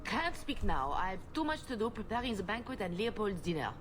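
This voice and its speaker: Actress as a curt, echoey, animated chateau servant woman. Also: mid-range voice, brisk, over music, natural voice. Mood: impatient. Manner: curt, exasperated, dismissive. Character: brusque, busy, haughty female servant at a chateau. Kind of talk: video game voice acting.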